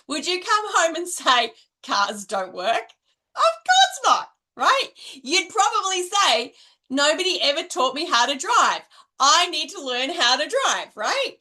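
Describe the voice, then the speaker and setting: Middle-aged Australian woman, high and bright, chatty coach who keeps checking in with "right?". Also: big pitch swings, takes it slowly. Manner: chatty, ends nearly every point with "right?". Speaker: direct selling coach. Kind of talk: casual coaching monologue to camera.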